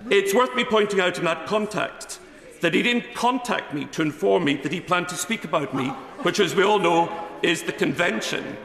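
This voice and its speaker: A man's voice: sits high, normal speed, vocal fry, a bit bright. A middle-aged man, a member of parliament, giving a formal, defensive speech from his notes in a debating chamber. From Scotland. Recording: echoey chamber with long reverb.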